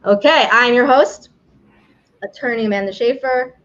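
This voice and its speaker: A woman's voice: a little high, moderate pace, a bit bright. A woman with a New York accent hosting a casual live stream, chatty and rambling with frequent restarts. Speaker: game show host, immigration attorney. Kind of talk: casual livestream talk. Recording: dull lo-fi livestream audio.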